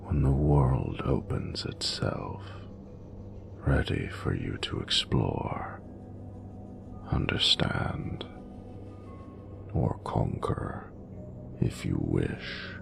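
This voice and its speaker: A man voicing an ancient, regal dragon in a romantic audio roleplay, doting and flirtatious, with gravelly, breathy, often near-whispered, grandiose and formal speech. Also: a bass voice, expressive, noisy background, exaggerated voice. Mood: solemn, reassuring. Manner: grandiose, formal, measured phrasing. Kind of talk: romantic audio roleplay, slow intimate monologue.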